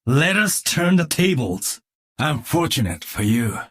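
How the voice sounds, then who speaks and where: An actor playing a disciplined, stoic cyborg ninja swordsman in a video game, with a husky voice, a Japanese accent and formal, clipped phrasing. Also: fairly high pitch, big pitch swings, measured pace, fairly bright tone, exaggerated voice. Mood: grave, quiet. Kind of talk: video game combat voice lines.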